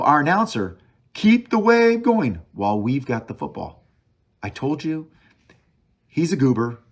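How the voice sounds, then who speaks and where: A man hosting a sports talk show alone, casually reviewing a game as an opinionated fan, thinking aloud and hammering short phrases by repeating them. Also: mid-range voice. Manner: emphatic repetition of short phrases. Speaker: sports podcast host.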